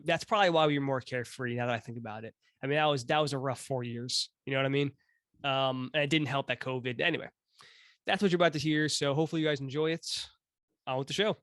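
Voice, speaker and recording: A man's voice: mid-range voice, fairly bright tone, no room sound, clean studio sound. A man around thirty hosting a podcast, talking casually in a rambling, joking and self-deprecating way, cursing now and then. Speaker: podcast host.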